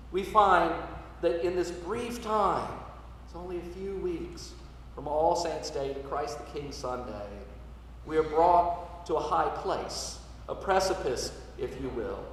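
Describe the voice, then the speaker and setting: Man preaching in a very echoey church. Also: a little high. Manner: measured, explanatory, in short phrases. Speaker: priest preaching. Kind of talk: sermon. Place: large reverberant church. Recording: echoey church, distant mic, noisy.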